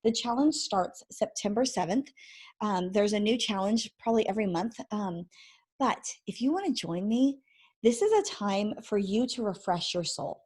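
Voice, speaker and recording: A woman in her forties speaks at an ordinary middle pitch, but her voice is croaky and a little hoarse. She hosts a casual faith-based parenting vlog into a microphone, chatting warmly to moms, laughing often and wandering off on tangents. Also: lively intonation, moderate pace. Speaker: vlog host and ministry founder. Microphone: microphone she sometimes bumps.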